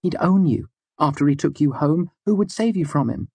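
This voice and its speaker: A man's voice: fairly low pitch, steady tone, moderate pace, breathy edge, dry recording, voice pushed up. A man voicing a worldly, tough but caring woman in an audiobook, with an arch, matter-of-fact, exasperated manner. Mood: incredulous, venomous. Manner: matter-of-fact, arch, scolding yet gentle. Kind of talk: audiobook character dialogue.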